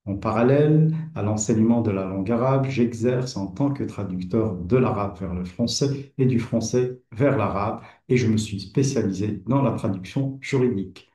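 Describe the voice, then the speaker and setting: Calm, mellow-voiced man in an echoey room. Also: lowish voice, normal speed, hardly any pauses. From Kabylie, Algeria. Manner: addresses the listener informally, calm and persuasive. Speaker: Arabic teacher and platform founder. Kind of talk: scripted promotional presentation.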